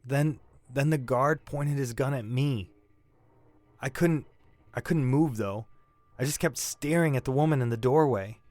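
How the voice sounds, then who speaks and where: An actor playing a shaken young male robbery suspect in an audio drama, defensive and grieving, halting and stammering as he talks. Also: mid-range voice, darkish tone, no echo, close to an everyday voice. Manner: halting, stammering, repeating himself. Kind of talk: naturalistic audio drama acting.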